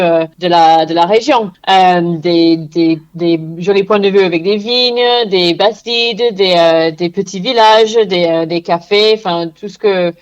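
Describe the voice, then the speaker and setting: A woman's voice: medium pitch, lo-fi sound. A woman from England speaking French with an English accent, a bike rental owner answering radio interview questions with many fillers and restarts. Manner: hesitant, many fillers and repeated words.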